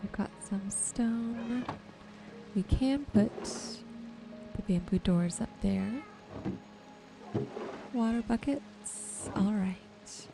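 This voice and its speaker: A woman narrates her gameplay in a soft-spoken ASMR style at a very slow pace. Her pitch sits in the middle range for a woman, and she muses aloud to herself and her viewers. Music and rain sounds play clearly behind her voice. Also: poor audio. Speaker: gaming streamer and host.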